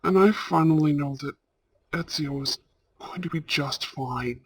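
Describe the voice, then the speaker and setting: Man acting a slow, husky, tender older brother. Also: on the high side. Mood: earnest, relieved. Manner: tender, earnest, halting delivery with sprinkled Italian endearments. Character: warm, protective older brother of a mafia groom. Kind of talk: scripted romantic audio roleplay, ASMR.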